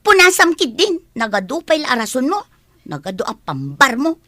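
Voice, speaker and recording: A woman's voice: high-pitched, huge pitch swings, moderate pace, bright, forceful tone, dry recording, studio quality, full character voice. A woman voicing a spoiled, haughty young daughter in a radio drama, bossy and whiny. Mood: bossy, scolding.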